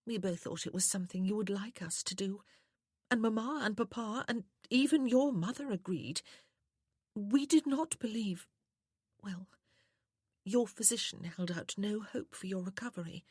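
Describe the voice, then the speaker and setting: A woman voicing a soft, sweet, tearfully reproachful young widow in a period romance audiobook. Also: mid-range voice, normal speed, slightly rough, no echo, clean studio sound, voice pushed up, lightly acted. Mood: tearful regret.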